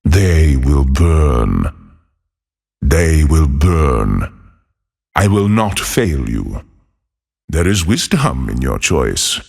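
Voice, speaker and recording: An actor playing a fiery exiled male desert wanderer for a game, with a gravelly, husky voice and a slow, solemn delivery with dry wit. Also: very deep voice, big pitch swings, a little mellow, big-room sound, exaggerated voice. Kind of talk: game voice lines.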